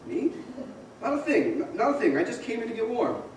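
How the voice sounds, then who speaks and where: A man's voice: very high voice, very animated, brisk, darkish tone. An actor playing a stubborn, awkward, grumbling young small-town man in an old-time radio play. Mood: exasperated. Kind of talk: old-time radio drama stage acting. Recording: echoey theater, distant mic, faint noise.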